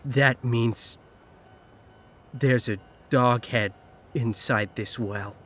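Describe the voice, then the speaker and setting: A man voicing a level-headed, inquisitive companion in a horror mystery fan dub, measured and pondering. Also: medium pitch, fairly bright tone. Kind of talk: visual novel fan dub acting.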